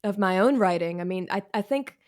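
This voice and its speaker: A woman author speaks as an interview guest in a conversational, informal way. Her pitch sits in the middle for a woman. She is candid about herself, with a wry, self-deprecating turn to her phrasing. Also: expressive, a little fast, darkish tone. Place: cluttered room at home, on a video call. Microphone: video call.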